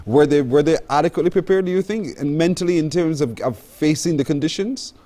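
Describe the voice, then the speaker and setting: A man's voice: a little high, brisk, mellow. A man hosting a live morning broadcast interview. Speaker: morning TV show host.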